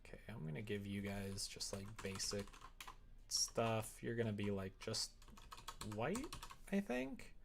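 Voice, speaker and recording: A man's voice: lowish voice, big pitch swings, unhurried. Man thinking aloud on a noisy livestream. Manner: rambling, thinking aloud to himself and viewers. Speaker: streamer. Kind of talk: casual livestream chat and tutorial while working. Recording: clear background noise, keyboard typing.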